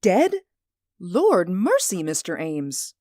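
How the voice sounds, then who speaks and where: A woman's voice: fairly low pitch, wildly swooping pitch, takes it slowly, darkish tone, dry recording, studio quality, full character voice. A woman playing a deferential, sceptical housemaid in a radio drama, with a folksy, respectful manner. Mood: wary.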